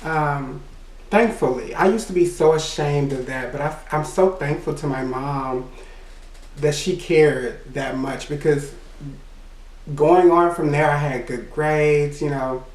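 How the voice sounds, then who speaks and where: A man with a high voice telling a personal story in a casual, rambling way, full of "you know" and quick laughs. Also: a little mellow, echoey room, distant mic, low-quality recording. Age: adult. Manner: laughs between remarks. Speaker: vlogger.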